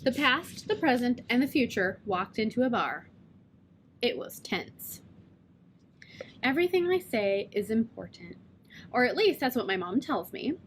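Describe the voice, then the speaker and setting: A woman reading a children's novel aloud in first person, with gently wry storytelling. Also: fairly high pitch, very animated, measured pace, long pauses, slight background noise. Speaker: reader.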